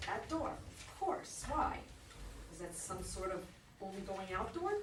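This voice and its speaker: A woman's voice: mid-range voice, very animated, unhurried. A woman playing a flustered, apologetic, puzzled character in a staged play reading. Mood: flustered, defensive. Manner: hesitant, puzzled. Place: echoey room at a live reading. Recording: echoey room, distant mic, faint noise.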